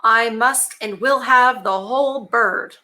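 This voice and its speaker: A woman reading the lines of a greedy fairy-tale king in a forceful, commanding way. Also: on the high side, slow. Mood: demanding.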